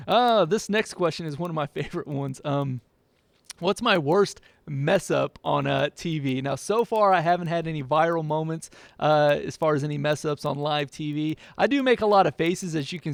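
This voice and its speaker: A man's voice: on the high side, gravelly, dry recording. A man from Oklahoma, a TV meteorologist, chatting upbeat and casually through a viewer Q&A, laughing now and then. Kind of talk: casual Q&A monologue.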